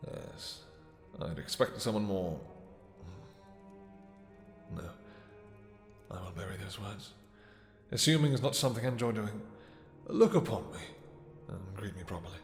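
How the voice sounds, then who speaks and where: A man playing an imperious, possessive vampire king in a romantic audio roleplay, speaking in a deep, soft, courtly voice with formal phrasing. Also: animated, faint music behind. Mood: haughty, intrigued. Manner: formal, courtly, commanding, hesitant pauses. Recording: echoey hall with long reverb.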